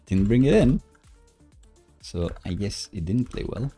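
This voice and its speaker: A man with a low, unhurried voice talks through his code on a casual livestream. He muses to himself haltingly, in a mellow tone, with soft music and typing behind him. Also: sing-song, breathy edge. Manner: hesitant, halting, self-musing. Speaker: live-coding streamer and host. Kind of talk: casual livestream coding commentary, thinking aloud. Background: soft background music, computer keyboard typing.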